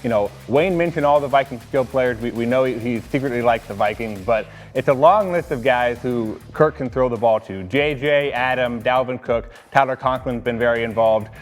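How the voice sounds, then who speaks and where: Low-voiced American man, very reverberant. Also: moderate pace, hardly any pauses, faint music behind. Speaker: team reporter and sports analyst. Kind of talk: casual sports discussion.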